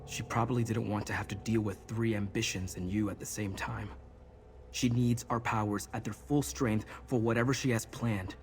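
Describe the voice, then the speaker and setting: An actor playing an earnest, resolute young mage in a video game, explaining things intently. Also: male voice, on the low side, steady tone, moderate pace, slight background noise, close to an everyday voice. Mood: urgent, resolute. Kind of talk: video game character dialogue.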